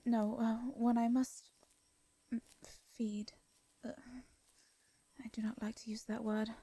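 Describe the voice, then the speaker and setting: A woman with an English accent voicing a gentle, anxious, centuries-old vampire in an intimate fantasy audio roleplay, speaking softly and breathily, with hesitant, formal wording. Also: mid-range voice, no echo, lightly acted. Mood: flustered, earnest. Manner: hesitant, stammering, formal old-fashioned speech.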